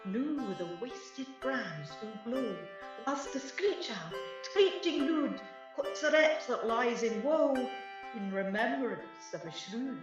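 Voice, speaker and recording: A woman's voice: fairly high pitch, big pitch swings. Echoing night sprite, played by a woman, chanting. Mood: eerie incantation. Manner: incantatory, drawn-out chanting. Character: mischievous night sprite chanting eerie verse. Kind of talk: Shakespeare radio play. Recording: very echoey, distant, dull, over plucked music.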